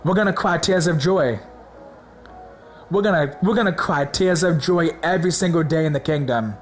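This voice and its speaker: A man preaching in a casual, slangy way, hoarse from a sore throat, excited and repeating words for emphasis. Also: a little high, normal speed, soft background music. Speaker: religious video host.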